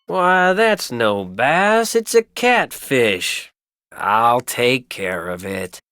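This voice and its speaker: A clear, smooth male voice at an average pitch as a folksy small-town tradesman in a game. He is easygoing and chatty, a keen fisherman. The sound is dry and clean. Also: very animated, very slow, bright, forceful tone, no room sound, exaggerated voice. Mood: amused, correcting. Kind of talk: video game character acting.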